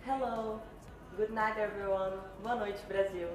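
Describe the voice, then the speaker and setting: A woman's voice: mid-range voice, steady tone, unhurried, a crowd in the background. A woman in her twenties with a Brazilian accent, speaking as a pageant contestant in a live question session. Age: 20s. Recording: very echoey, noisy room.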